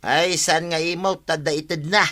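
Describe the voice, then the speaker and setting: A man's voice: on the high side, steady tone, moderate pace, pushed and bright. A man with an Ilocano accent playing a sociable, pleading village husband in a radio comedy drama, talking emphatically. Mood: explaining, insistent.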